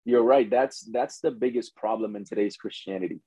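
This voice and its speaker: A man's voice: fairly low pitch, a little fast, dark tone, slightly rough, dry recording. A man from Ghana who grew up in the United States, speaking as a pastor and guest in a conversational interview, often saying "you know". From born in Ghana, grew up in the United States from preteens.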